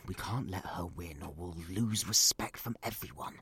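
An actor playing an exasperated dad with a short temper in an audio drama, moving between hushed mutters and loud outbursts. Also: male voice, low-pitched, moderate pace, slight background noise. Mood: firm, strained.

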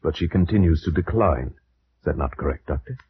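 Very deep, solemn gothic gentleman. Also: animated, slight background noise. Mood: probing. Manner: solemn, formal, ominous, measured. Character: grave, dread-haunted gentleman narrator, friend of a doomed family. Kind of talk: 1940s-50s radio gothic horror narration and acting. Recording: dull old radio recording with music.

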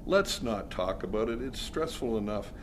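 A man's voice: lowish voice, animated, normal speed, a little hiss or hum. A man performing a scripted comic sketch, with a jokey but earnest delivery. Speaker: sketch writer and guest performer.

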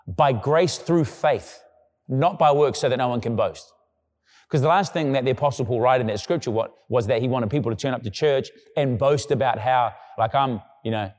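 A man preaching a sermon in a relaxed, conversational way, his voice at an ordinary middle pitch. Now and then he drops lower and quieter to act out what other people say. A long reverb trails behind him, as in a large hall. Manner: conversational preaching, acting out quoted voices in a low murmur. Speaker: pastor.

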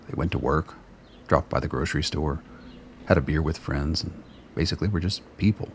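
A man narrating a radio play in a measured, descriptive storytelling manner. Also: deep voice, vocal fry, slight background noise. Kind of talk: scripted narration.

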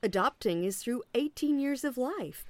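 A woman's voice: high-pitched, expressive, moderate pace, dry recording. A woman acting in an audio play as an officious agent, politely relentless and salesy, with veiled sarcasm. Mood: briskly officious.

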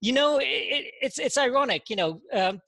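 A man, a podcast guest telling his story in casual interview talk, halting and full of "you know" and repeated words. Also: extremely high pitch, animated, gravelly, sharp tone, slight background noise. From England.

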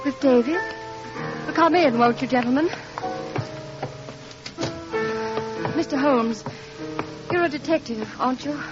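A woman as high-voiced, anxious, protective wife. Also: normal speed, over loud music, close to an everyday voice. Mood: polite. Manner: polite, earnest, pleading. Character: anxious, protective, well-bred doctor's wife. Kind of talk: old-time radio drama acting. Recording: muffled old radio recording.

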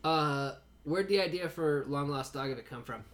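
A man's voice: fairly high pitch, normal speed, fairly bright tone. A man hosting a casual podcast, laid-back, swearing casually and giving lots of backchannels. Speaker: podcast host.

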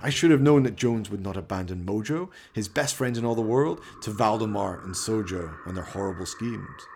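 A man's voice: fairly low pitch, moderate pace, gravelly, darkish tone, soft background music. A man delivering theatrical, scripted narration for a comic horror radio play, in an arch, melodramatic manner.

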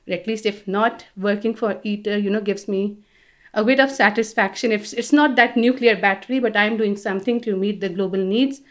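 Woman, thirties, Indian accent, interview guest, conversational, explanatory, mid-range pitch. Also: fairly even intonation, brisk. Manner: explanatory, frequent "you know".

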